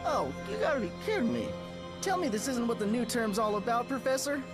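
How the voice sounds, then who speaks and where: A woman's voice: fairly high pitch, a little fast. A woman voicing a mouthy, exasperated, slangy teenage boy hero in a motion comic. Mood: indignant. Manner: slangy, whiny, animated. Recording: loud music behind.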